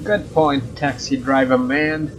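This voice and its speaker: A man's voice: mid-range voice, fairly even intonation, slow. A young man doing a let's play, reading a visual novel aloud and adding casual commentary. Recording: dull lo-fi mic, faint noise.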